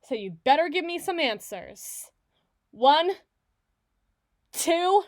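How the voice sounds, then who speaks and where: Woman acting a villainess, audio roleplay, higher-pitched, very animated delivery, hot-tempered, sarcastic, foul-mouthed, stubborn bluster. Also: slow pace, exaggerated voice. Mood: defiant, agitated.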